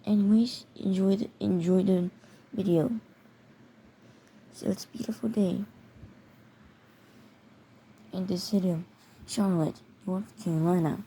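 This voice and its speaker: Halting, mellow amateur narrator with background noise. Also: mid-range voice, fairly even intonation, poor audio. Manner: halting, often repeating words. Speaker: video creator and narrator. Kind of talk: amateur narration of a mock emergency alert scenario video.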